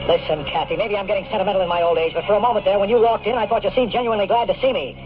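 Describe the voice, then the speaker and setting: Man acting a cynical private eye, quick clipped pace, slangy noir radio drama, tinny vintage broadcast. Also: high-pitched, natural voice. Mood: pressing, sardonic. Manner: slangy, tough, sardonic. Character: wisecracking hard-boiled male private detective, weary and cynical. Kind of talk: 1950s radio drama acting, noir first-person narration. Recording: tinny old-time radio recording, music.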